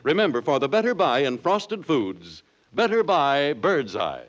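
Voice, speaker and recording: A man's voice: a little high, very animated, unhurried. A man reading a 1940s radio commercial as announcer, in a chatty, emphatic, folksy sales style. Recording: muffled old radio recording.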